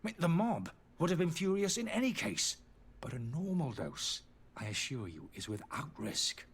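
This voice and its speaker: An actor playing a disgraced, indignant alchemist in a fantasy video game, pleading and justifying himself theatrically in a slightly husky voice. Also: male voice, a little high, expressive, moderate pace. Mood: defensive, agitated. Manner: agitated, defensive, theatrical delivery.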